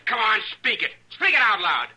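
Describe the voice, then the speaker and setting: Piercing, bitter young frontier avenger. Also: male voice, sits high, sing-song, normal speed, slightly rough, exaggerated voice. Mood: taunting, challenging. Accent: American rural Western drawl. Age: young adult. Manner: folksy, insistent, accusing. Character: young man bitterly bent on avenging his father. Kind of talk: old-time radio western acting. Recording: bright, lo-fi old radio broadcast.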